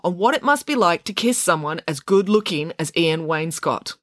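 A woman voicing an excitable, gossipy female schoolteacher who relishes romance, gushing and theatrical, in a storybook reading. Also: lowish voice, normal speed, dry recording, clean studio sound, lightly acted. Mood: relishing.

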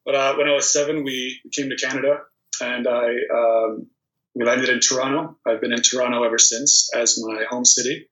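A man's voice: mid-range voice, normal speed. A man in his thirties, originally from Poland, talking casually as an interview guest with plenty of ums and restarts. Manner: relaxed storytelling with many ums and restarts. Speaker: guest, volleyball player. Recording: dull, echoey remote recording.

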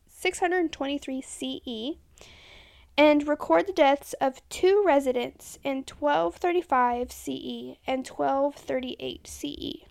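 A woman's voice: high-pitched, vocal fry, a little mellow. A woman co-hosting a podcast, reading history notes in a casual chat and stumbling over names as she corrects herself. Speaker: podcast co-host.

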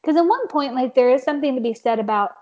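A young woman hosting a casual conversation, a little hoarse, saying "like" often with an uneven pace. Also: high-pitched, lively intonation, fast talker, darkish tone. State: slightly hoarse. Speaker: podcast host. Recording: dull lo-fi sound.

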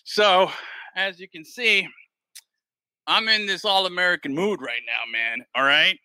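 Man, podcast host, slightly high voice, dry close mic, loose rambling chat. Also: a little slow, sharp tone, no echo, rough recording. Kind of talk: casual chat.

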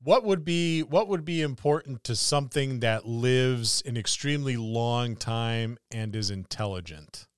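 A man's voice: mid-range voice, a little slow, hardly any pauses, clean tone, no room sound, studio quality. A man co-hosting a casual podcast discussion about tabletop roleplaying, musing aloud and building little imagined scenarios. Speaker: podcast co-host.

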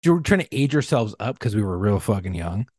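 A man in his thirties with a husky, low-pitched voice spins profane stories in loose podcast banter, his tone rising and falling a lot. The sound is dry and close, with no room in it. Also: very animated, a little fast, darkish tone, no echo. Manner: storytelling, profane, laughs while talking. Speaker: podcast co-host. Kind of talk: casual crude banter.

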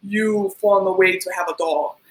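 A woman's voice: medium pitch, fairly even intonation, moderate pace, poor audio. A woman who grew up in Liberia, a hair stylist as guest on a podcast, talking casually with many ums and likes. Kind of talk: casual interview.

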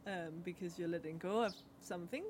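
A young adult woman whose first language is Danish, co-hosting a podcast and giving a relaxed card reading in a halting way, with many fillers and pauses. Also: fairly low pitch, a little hiss or hum. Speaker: podcast co-host. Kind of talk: casual chat, card reading.

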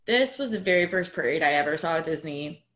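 Young woman on a podcast, lively and giggly, kinda low voice, roomy echo. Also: fast talker. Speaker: podcast co-host. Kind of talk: casual group podcast chat.

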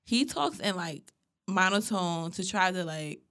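A woman with a lower voice talks through a long story on a podcast in an even, monotone way. She is blunt and curses casually, chatting with a co-host. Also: unhurried. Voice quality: self-described natural monotone. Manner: blunt, profane, recaps at length. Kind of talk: casual chat.

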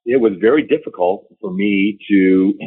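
Husky soft-spoken man on a phone line. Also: fairly low pitch. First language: Chinese. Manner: soft-spoken, halting, repeats words. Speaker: guest. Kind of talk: casual interview answers. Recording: tinny phone-line audio.

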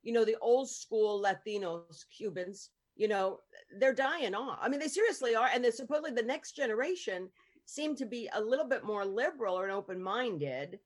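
A woman's voice: on the high side, lively intonation, normal speed. A woman hosting a casual conversation, speaking earnestly and asking questions. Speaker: host.

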